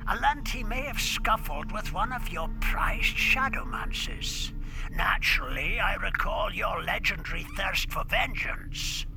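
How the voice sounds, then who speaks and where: An actor playing a sly, ingratiating wizard in an audio drama, with a formal, genteel and insinuating manner. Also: male voice, sits high, lively intonation, unhurried, gravelly, over music, exaggerated voice, low-quality recording. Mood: insinuating, smug. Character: sly, ingratiating male wizard with hidden schemes. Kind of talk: audio fiction drama acting.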